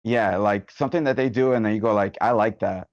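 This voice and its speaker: Male, informal and halting, slightly low voice, casual meeting chat with dulled audio. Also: no room sound, muffled recording. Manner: informal, sweary, halting phrasing. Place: online meeting room.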